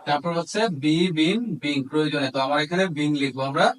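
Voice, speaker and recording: A man with a Bangladeshi accent and a slightly high voice gives an instructional grammar lesson. He explains step by step, dictating in small pieces and switching between Bengali and English terms. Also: fairly quick.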